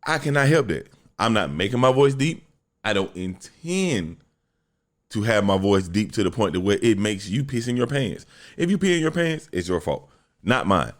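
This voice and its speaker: A young man hosting a solo podcast, talking bluntly and profanely in a deep voice, telling a story with lots of animation. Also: animated, moderate pace. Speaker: podcast host.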